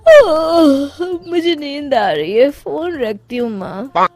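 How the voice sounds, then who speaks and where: A woman voicing a lazy, cheeky young daughter-in-law who complains and exclaims in an animated story. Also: high-pitched, slow. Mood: sleepy. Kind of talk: animated moral story voice acting.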